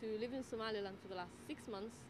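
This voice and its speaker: A woman from the Netherlands talks through her work as a midwife. She answers in a measured, thoughtful way, with frequent pauses and fillers. Her voice sits a little on the high side, and clear background ambience runs under the recording. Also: noisy background. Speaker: midwife and tutor. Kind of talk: interview answers.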